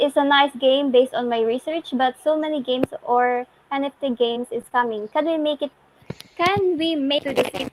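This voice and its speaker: A woman hosting a casual livestream, effusively repeating words as she reads out questions from the community. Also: sits high. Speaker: host reading community questions. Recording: noisy livestream audio with clicks.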